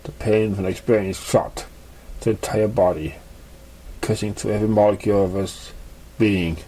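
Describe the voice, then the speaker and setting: A man reading a fan fiction story aloud in a halting, stumbling way. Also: lowish voice, fairly even intonation, mellow, low-quality recording. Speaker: story reader.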